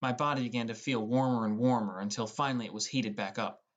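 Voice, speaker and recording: A man's voice: medium pitch, fairly even intonation, fast, dull audio. A young man reading scripted first-person narration as an audiobook narrator.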